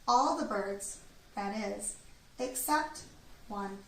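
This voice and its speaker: A woman reading a children's storybook aloud in an expressive, deliberate storytelling manner. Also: mid-range voice. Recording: echoey room, distant mic, background noise.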